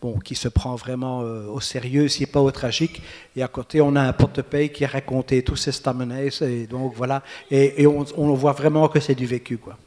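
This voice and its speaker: A man's voice: medium pitch, fairly even intonation, fairly quick, darkish tone, big-room sound. A man from Brussels with a Brussels accent, a guest author and folklorist who chats playfully and recites his own verse. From Brussels, Belgium. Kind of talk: casual interview and poetry recital.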